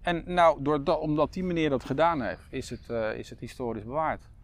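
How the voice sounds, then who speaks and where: A man's voice: a little high, a little fast. A man with a Netherlands Dutch accent co-hosting a podcast in casual, conversational chat, explaining things as he goes. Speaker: podcast co-host.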